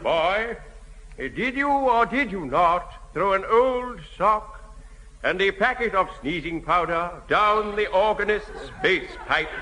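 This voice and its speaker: A stern, fussy vicar, high-pitched and sharp, who hums pompously as he interrogates. The old radio comedy sound has hall reverb and faint hiss. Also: animated, takes it slowly, exaggerated voice. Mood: stern, suspicious. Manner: pompous, humming, inquisitorial. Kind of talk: 1970 British radio sitcom acting. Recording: hissy old radio recording, echoey hall.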